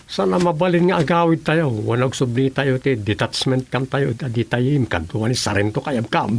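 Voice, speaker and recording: A man's voice: mid-range voice, moderate pace, gravelly, slightly rough. A man with an Ilocano accent playing a weary army lieutenant in a radio drama, earnest and complaining. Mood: worried. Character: weary male army lieutenant leading a search.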